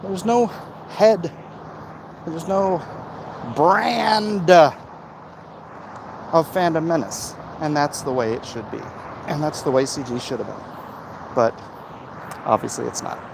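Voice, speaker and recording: A man chatting casually and giving opinions in a halting way, as if hosting a livestream. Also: fairly high pitch, noisy background. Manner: halting, frequent throat clearing. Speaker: livestream host answering viewer comments.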